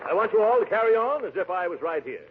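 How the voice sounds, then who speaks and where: An actor playing a pompous, portly middle-aged factory boss in a 1940s radio comedy, speechifying grandly and stammering when flustered. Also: male voice, high voice, sing-song, fast talker. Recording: noisy, tinny 1940s radio broadcast.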